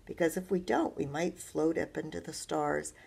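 A woman reading aloud as a loving old grandmother who speaks softly and slowly to her grandchildren. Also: sits low, creaky, slightly rough, exaggerated voice. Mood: tender. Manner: soft, slow and tender.

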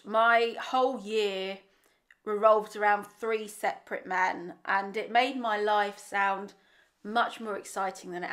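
A young woman speaking earnestly to camera from a bright bedroom, her voice a touch croaky. She talks in a candid, reflective way, restarting a phrase now and then as she thinks, into a microphone on the desk in front of her. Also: mid-range voice. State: slightly croaky voice. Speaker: vlogger. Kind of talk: casual reflective monologue to camera.

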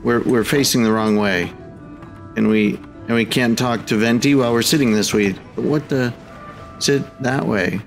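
A man's voice: mid-range voice, normal speed, vocal fry. A man doing casual let's play commentary as a gaming host, with playful, sing-song reactions. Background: game music.

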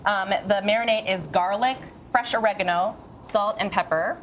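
A woman in her thirties briskly walking through a recipe on a TV studio kitchen set. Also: medium pitch, a bit bright, a little hiss or hum. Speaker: food contributor guest. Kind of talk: casual cooking demonstration.

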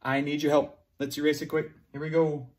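A young man teaching preschoolers online at a whiteboard, talking slowly and encouragingly in a sing-song way, moving toward and away from the camera. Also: a little high, flat delivery, background noise. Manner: slow, sing-song, encouraging teacher talk to small children. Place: classroom at a whiteboard. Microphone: camera close up, moving away to write.

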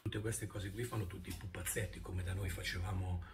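A man's voice: sits low, steady tone, a little fast, a crowd in the background. A man, rambling casually in a vlog shot on a phone at arm's length. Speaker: video blogger. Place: indoor room. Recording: noisy phone video played back.

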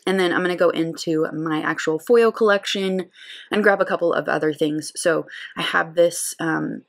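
A woman's voice: sits low, moderate pace, gravelly. Chatty upbeat woman planner host. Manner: chatty, upbeat, laughs often. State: voice lower than usual today. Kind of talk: casual plan-with-me voice-over.

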